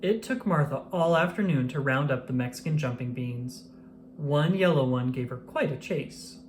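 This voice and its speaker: A narrator reading a children's picture book aloud in an expressive, measured storytelling style. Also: medium pitch, slight background noise.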